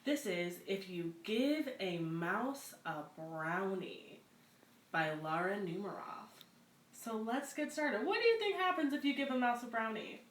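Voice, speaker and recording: A woman's voice: medium pitch, expressive, unhurried. Woman reading aloud in an echoey room. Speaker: community center story-time host. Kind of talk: children's picture book read-aloud with casual introductions. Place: echoey room at a community center. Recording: echoey room, clear background noise.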